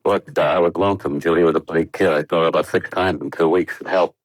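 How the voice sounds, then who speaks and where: An actor playing an earnest, troubled young American test pilot, hesitant and subdued in 1950s radio drama style. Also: male voice, sits low, fairly even intonation, quick pace, no room sound. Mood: guilty, pleading.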